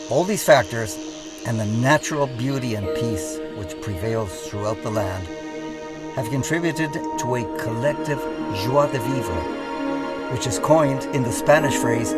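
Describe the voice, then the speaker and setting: An older man from Costa Rica reading a scripted documentary narration in a measured, deliberate way, leaning on key words. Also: mid-range voice. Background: loud music bed.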